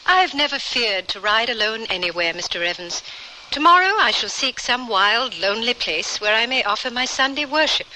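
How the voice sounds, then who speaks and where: A woman's voice: on the high side, lively intonation, normal speed, bright, forceful tone. An actress playing a prim, strong-willed, pious lady adventurer with formal, genteel speech in an old radio drama. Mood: proud, defiant. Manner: formal, genteel, precise phrasing. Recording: noisy old radio recording.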